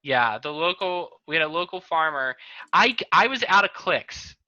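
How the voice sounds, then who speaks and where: A man's voice: on the high side, lively intonation, normal speed, pushed and bright, no echo. A man hosting a podcast in casual chat over a video call, rambling and correcting himself as he goes. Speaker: podcast host. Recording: dull lo-fi video call audio, dry.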